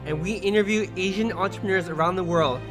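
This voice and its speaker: Man co-host over clear music. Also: sits high, quick pace. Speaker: podcast co-host. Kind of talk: scripted podcast intro.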